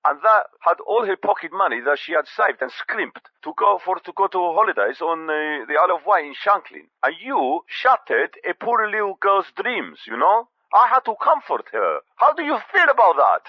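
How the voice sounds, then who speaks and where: A man's voice: high voice, very animated, normal speed, few pauses, dry recording, heavily acted. An actor playing an emotional, aggrieved kebab-shop owner and father, dramatic and reproachful. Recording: dull, dry phone-in line.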